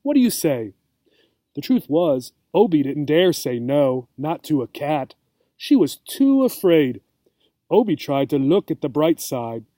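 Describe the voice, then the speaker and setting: A man reading a children's storybook aloud as a teacher, expressive and checking in with listeners. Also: fairly high pitch, unhurried, no room sound. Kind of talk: storybook read-aloud for English learners.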